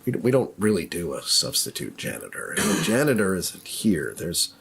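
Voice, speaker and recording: Paranoid male school disciplinarian acted by a man, halting, suspicious, swooping intonation, tabletop game. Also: low-pitched, sing-song, gravelly, noisy background, pitched below the performer's own voice, full character voice. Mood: awkward concern.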